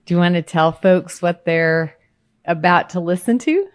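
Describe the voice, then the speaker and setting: A woman from Guatemala hosting a podcast, upbeat and encouraging, quick to laugh. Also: on the low side. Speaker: podcast host.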